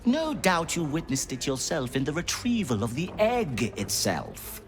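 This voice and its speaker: An actor playing a callous, clinical scholar from the Underdark, lecturing in a precise, detached manner in a video game. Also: male voice, on the high side, wildly swooping pitch, unhurried, soft background music, exaggerated voice.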